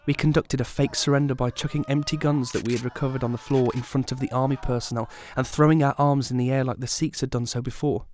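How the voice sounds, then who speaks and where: A male actor as a haunted survivor in an audio drama. He tells of his traumatic youth in a solemn, measured way, with a medium-pitched voice. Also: fairly even intonation, a little mellow, lightly acted. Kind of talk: scripted first-person drama narration.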